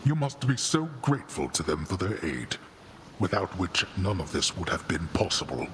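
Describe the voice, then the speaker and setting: A stern god of the dead from a video game, low and commanding. He speaks with deliberate scorn and swells dramatically from cold restraint to force. There is clear noise behind him. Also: big pitch swings. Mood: cold, scornful.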